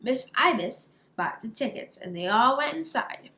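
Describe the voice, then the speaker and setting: A woman narrating a children's picture book aloud in an expressive storytelling style. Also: fairly high pitch, measured pace.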